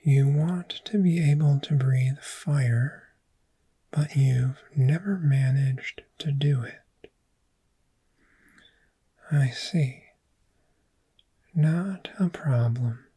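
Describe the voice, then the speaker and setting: Casting: woman to play a calm dragon therapist for ASMR roleplay; mellow, whispery, extremely slow and measured delivery, reassuring. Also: extremely low pitch, full character voice. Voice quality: breathy, whispery. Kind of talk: soft-spoken ASMR roleplay.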